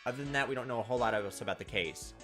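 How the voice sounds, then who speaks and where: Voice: mid-range voice, very fast, a bit bright. A gameplay host with a raw, scratchy throat, mixing casual, wry commentary with reading visual novel text aloud. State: sore, scratchy throat from winter weather. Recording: music behind the voice.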